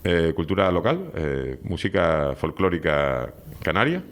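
A man's voice: sits low, big pitch swings, a little slow. A middle-aged man hosting a radio show, chatty and teasing with his guests. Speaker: radio host. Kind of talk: casual radio interview.

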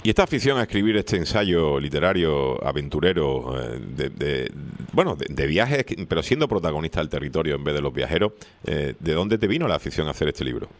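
Male radio host from Seville, low voice, rapid lively chat. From Seville, Spain. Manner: lively, chatty, laughs easily. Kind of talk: radio interview.

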